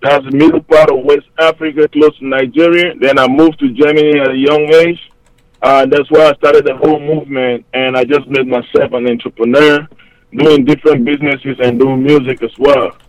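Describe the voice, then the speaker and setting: A man's voice: medium pitch, level tone, no echo, poor audio. A man with a Cameroonian accent, a hip-hop artist talking as a guest on an interview show, upbeat and rambling with plenty of fillers. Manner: upbeat, talks in quick rambling phrases with many fillers. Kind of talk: casual interview over a remote line.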